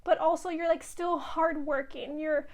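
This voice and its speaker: Hoarse woman, tarot reader, high voice, casual and reassuring to camera. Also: fairly even intonation, measured pace, darkish tone. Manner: reassuring, addresses viewers with frequent "okay?". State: losing her voice.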